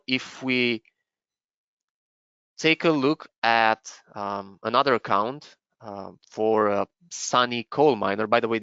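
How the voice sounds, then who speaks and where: A man from Romania, presenting a live software webinar and explaining features step by step with frequent fillers and restarts. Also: medium pitch, smooth, dry recording, lo-fi sound. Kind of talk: live webinar product demo.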